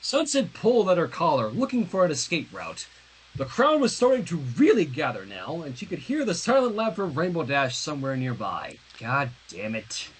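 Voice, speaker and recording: Husky livestream narrator, highly expressive swings in pitch, casual sweary asides. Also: moderate pace, low-quality recording. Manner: animated, profane, stumbles and self-corrects. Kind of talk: expressive fan fiction reading with casual asides.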